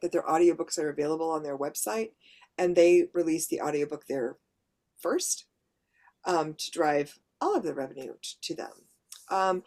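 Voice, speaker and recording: A woman with a mid to lower voice who narrates audiobooks, giving a practical, conversational workshop presentation to writers. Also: a little mellow. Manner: practical, conversational, explaining step by step.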